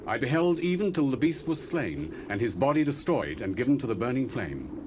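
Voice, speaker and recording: A man's voice: mid-range voice, close to an everyday voice. A man playing a devout prophet, hissy tape. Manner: solemn, declamatory visionary telling. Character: faithful male prophet, devout and steady. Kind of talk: 1970s dramatized scripture audio drama. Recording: hissy cassette tape rip.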